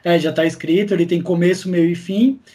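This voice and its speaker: A Brazilian man speaks as a guest in a relaxed, informal interview. His voice sits a little higher than average. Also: a little fast, a crowd in the background. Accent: Brazilian Portuguese. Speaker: podcast guest, audiodrama creator. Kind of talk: casual interview chat.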